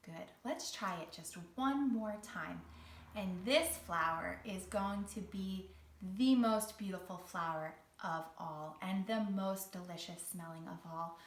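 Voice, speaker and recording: A woman's voice: medium pitch, measured pace. A woman speaking as a children's read-along host and teaching artist, in an encouraging, theatrical storytelling manner with carefully phrased lines. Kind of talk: guided exercise and storytelling for young children. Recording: roomy echo, distant from the mic.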